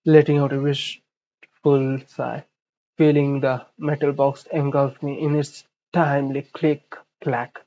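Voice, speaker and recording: A streamer reading visual novel narration aloud in a mid-pitched voice, with casual asides. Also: mellow, dull audio.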